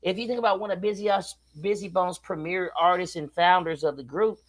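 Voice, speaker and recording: A man's voice: sits high, fairly even intonation, fairly quick. A man guesting on a podcast as a rapper, talking emphatically and driving his points home. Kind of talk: casual interview chat.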